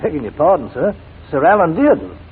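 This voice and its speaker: Fast, urgent inspector, old radio. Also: male voice, on the high side, big pitch swings. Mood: urgent, deferential. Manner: respectful, earnest. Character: deferential, urgent male police inspector reporting. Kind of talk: 1940s radio acting. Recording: scratchy, narrow-band 1940s radio recording.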